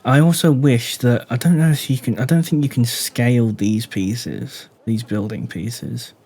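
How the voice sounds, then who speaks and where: Guy narrating his game build, keeps it low and quiet, thinking out loud at a desk mic. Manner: thinks aloud, asks the viewer rhetorical questions; speaks deliberately low and quiet. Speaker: gaming YouTuber. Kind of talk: casual let's-play building commentary.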